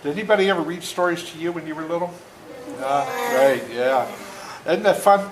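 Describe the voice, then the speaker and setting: A man in his seventies talking and reading aloud to children as an author, plain-spoken and deliberate, often checking in with questions. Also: a little high. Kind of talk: storytelling talk and read-aloud to children. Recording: echoey room with faint noise.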